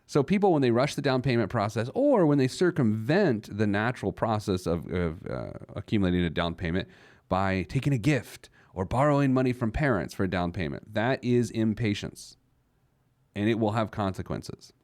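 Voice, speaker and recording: Low-voiced man with animated, swooping delivery. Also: normal speed, no room sound, clean studio sound. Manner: emphatic, rhetorical questions, joking asides. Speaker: personal finance show host. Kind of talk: conversational financial advice monologue.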